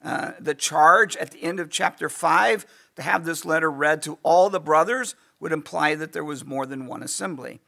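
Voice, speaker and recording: A man teaching a Bible lesson in an easygoing lecture style, losing his voice and often clearing his throat. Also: a little high, moderate pace, hardly any pauses, pushed and bright. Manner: conversational, thinking aloud with asides. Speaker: pastor teaching a Bible class.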